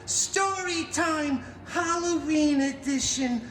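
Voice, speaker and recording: Man playing a high-pitched, hammy wisecracking antihero storyteller. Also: full character voice. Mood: theatrical, suspenseful. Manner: hammy, sarcastic, swooping between dramatic delivery and quick asides. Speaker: theme park character performer telling a story to children. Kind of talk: theatrical live comic storytelling with crowd banter. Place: live theme park stage show. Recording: echoey live show, loud music behind. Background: loud music.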